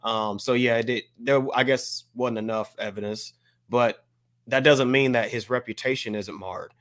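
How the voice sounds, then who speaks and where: An American man co-hosting a casual podcast discussion, measured and hesitant, carefully qualifying what he says. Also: medium pitch, steady tone, fairly bright tone. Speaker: podcast co-host.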